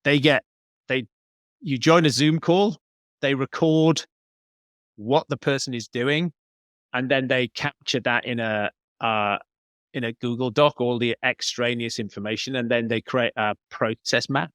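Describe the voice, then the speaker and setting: A man's voice: mid-range voice, steady tone, unhurried, fairly bright tone, dry recording, clean studio sound. A man giving conversational interview answers as a podcast guest, explaining things as he thinks aloud. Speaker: podcast guest, business coach.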